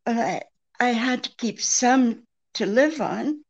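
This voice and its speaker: Woman acting a slow, creaky, frightened femme fatale. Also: on the high side, expressive, lo-fi sound, exaggerated voice. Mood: afraid, faltering. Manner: halting, hesitant. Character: frightened, evasive femme fatale client pleading for help. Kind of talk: 1940s radio detective drama acting.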